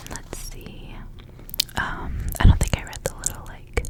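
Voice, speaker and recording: A woman whispering in a breathy, unvoiced voice, reading stories aloud for ASMR in a casual, unhurried, informal way.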